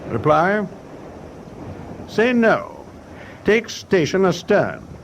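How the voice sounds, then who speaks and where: A stubborn warship captain, acted by a man in a wartime radio drama. He gives clipped, decisive replies in a slightly high, very mobile voice over clear ship rumble. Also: huge pitch swings, very slow, heavily acted. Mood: firm, decisive.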